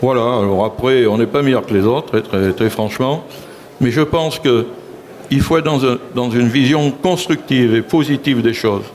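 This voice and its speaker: A man's voice: medium pitch, moderate pace, a little mellow, slight background noise. A man, a local elected official, speaking assertively in a public panel talk and often saying "si vous voulez".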